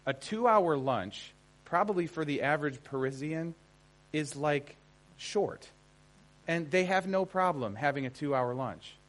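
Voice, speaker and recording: A man's voice: on the high side, lively intonation, measured pace, a bit bright. Conversational American man teaching a seminar. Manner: conversational, emphatic, often checks in with listeners. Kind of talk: informal seminar lecture to an audience.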